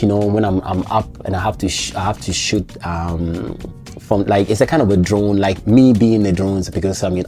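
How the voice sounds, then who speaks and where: Low-voiced man telling a travel story. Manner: animated, frequent restarts and self-corrections. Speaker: vlogger.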